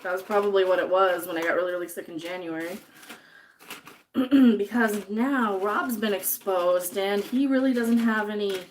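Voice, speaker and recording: A woman's voice: mid-range voice, normal speed, slight background noise, low-quality recording. A woman chatting casually on a livestream while she cooks in her home kitchen, sniffly from chopping jalapenos and reading viewer comments aloud. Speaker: livestream host cooking.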